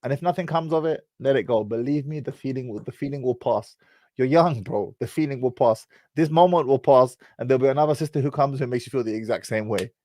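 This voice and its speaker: A man's voice: medium pitch, quick pace, warm tone, dry recording. A man hosting a live-stream call-in show, giving advice in a direct, brotherly and slangy way. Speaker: host. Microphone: online call.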